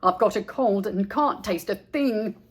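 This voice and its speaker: A woman's voice: medium pitch, measured pace, husky. A woman voicing a hearty, good-natured man selling homemade relish in a storybook, friendly and plain-spoken.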